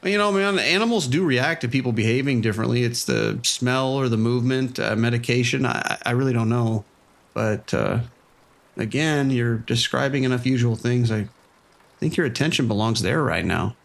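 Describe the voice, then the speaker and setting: A man playing a gravelly, level-headed police sergeant. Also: mid-range voice, normal speed, lightly acted. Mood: calm. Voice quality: calm, hesitant, informal. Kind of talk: audio drama acting.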